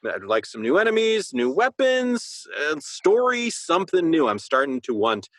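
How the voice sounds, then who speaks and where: A man's voice: on the high side, huge pitch swings, normal speed, a bit bright, no room sound. A man co-hosting a podcast, chatting casually about a video game and rephrasing as he thinks aloud. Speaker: podcast co-host.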